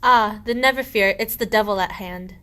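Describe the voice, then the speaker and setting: A woman acting a sharp-tongued, cunning peasant wife in a rhyming comic radio play, with a scolding, theatrical delivery. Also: mid-range voice, normal speed, lightly acted. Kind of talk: verse radio play acting of a medieval comedy.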